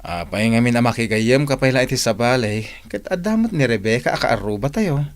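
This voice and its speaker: A man's voice: mid-range voice, expressive, fairly quick, no room sound, close to an everyday voice. A man acting a friendly older-brother type of neighbour in a radio drama.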